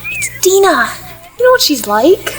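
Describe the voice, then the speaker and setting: A woman's voice: extremely high pitch, big pitch swings, measured pace, background noise, exaggerated voice. A woman acting a scornful, gossipy teenage girl in an audio play, with slangy, squeamish delivery. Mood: disgusted.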